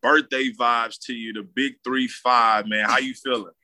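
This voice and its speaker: A man interviewing a friend in a casual, hyped, slangy way, with loud exclamations. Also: mid-range voice, steady tone, a little slow. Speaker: guest interviewer.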